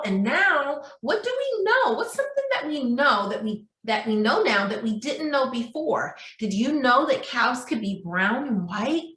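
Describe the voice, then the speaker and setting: A woman's voice: fairly high pitch, pushed and bright. A woman preschool teacher reading aloud and leading a craft lesson in a sing-song way, asking young children questions. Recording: roomy, echoey indoor sound.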